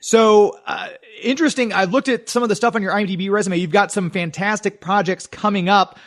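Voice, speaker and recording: High-voiced man hosting a radio interview. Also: steady tone, brisk, fairly bright tone. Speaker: radio show host, interviewer.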